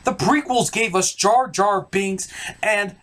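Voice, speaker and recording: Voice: medium pitch, slow, a bit bright. A reviewer delivering a scripted comedic review in a sardonic, emphatic way, as the host of the show.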